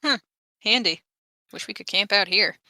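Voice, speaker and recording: A woman's voice: medium pitch, normal speed, bright, forceful tone, no echo. A woman chatting casually as a player in a tabletop role-playing game. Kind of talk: casual chat.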